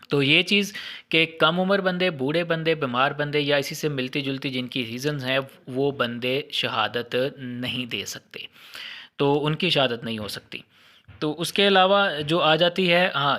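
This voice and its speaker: Man, Pakistani accent, very bright tense voice, medium pitch, instructive informal lecturing, English terms mixed in. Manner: didactic, repeats key points, mixes in English terms. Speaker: law lecturer.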